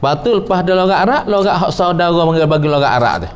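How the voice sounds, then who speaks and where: A man teaching a traditional religious lesson. He explains slowly, repeats phrases and quotes Arabic before glossing it. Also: high voice, a little hiss or hum.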